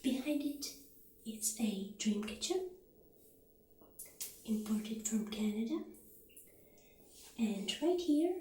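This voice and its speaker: A woman speaking softly and breathily, often near a whisper, gently playing a friendly witch shopkeeper in an ASMR roleplay. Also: on the high side. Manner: gentle, unhurried, halting. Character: friendly witch shopkeeper showing potion ingredients. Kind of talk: soft-spoken ASMR roleplay. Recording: roomy echo, faint background noise.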